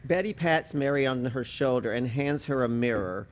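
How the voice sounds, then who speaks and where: A man's voice: medium pitch, normal speed. A man giving scripted narration, reading stage directions at a staged reading.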